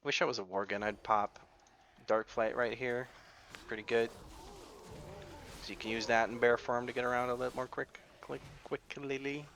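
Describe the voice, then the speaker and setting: Bright-voiced American man chatting over gameplay. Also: mid-range voice, steady tone, normal speed, long pauses, a little hiss or hum. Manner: chatty, rambling, self-correcting. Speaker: gaming YouTuber. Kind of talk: casual gameplay commentary.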